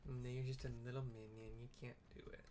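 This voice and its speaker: A man giving casual, wry commentary as he plays a video game, describing the action in halting bits. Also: medium pitch, flat delivery, noisy background. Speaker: video game streamer narrating play. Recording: music behind the voice. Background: game music.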